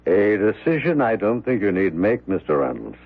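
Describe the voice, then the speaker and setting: An actor playing a formal, ominous manservant in an old radio drama, speaking in measured phrases. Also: male voice, on the low side, very animated, slightly rough, heavily acted. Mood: grave warning. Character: grave, formal manservant, prophetic and accusing. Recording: muffled, narrow-band old radio broadcast.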